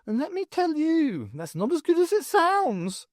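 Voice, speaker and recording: A man voicing a flustered older male professor in drag, with a shrill, campy, theatrical delivery in an audiobook. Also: wildly swooping pitch, a little slow, no room sound, clean studio sound, exaggerated voice. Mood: breathless, arch.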